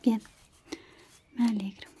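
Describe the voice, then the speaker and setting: A woman doing a soft-spoken, half-whispered ASMR roleplay as a shy, caring high school classmate, gentle and hesitant. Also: slow pace. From Chile.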